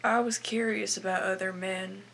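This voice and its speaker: Teenage girl acting an anguished, creaky young farm wife. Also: mid-range voice, steady tone, normal speed, a little hiss or hum. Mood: guilty, subdued. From Canada. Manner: confessional, anguished, swinging from hushed to bursting. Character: desperate, bitter, self-loathing young farm wife confessing to her husband. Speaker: aspiring actress performing a film monologue. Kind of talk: dramatic monologue acting.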